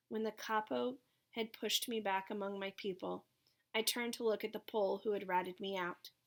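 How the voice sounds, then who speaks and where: A woman narrating a first-person story from a written text, as a reader of an audiobook. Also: medium pitch.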